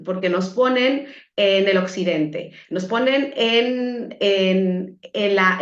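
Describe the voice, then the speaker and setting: A middle-aged woman with a Mexican accent, an academic giving a conversational, reflective presentation. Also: on the high side. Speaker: academic, conference speaker. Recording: echoey room, muffled dull audio.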